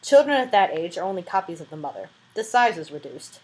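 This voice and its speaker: A woman's voice: lowish voice, sing-song, fast talker, low-quality recording. A woman reading a classic novel aloud as an amateur audiobook reader, with a dramatic, emphatic delivery and an informal touch.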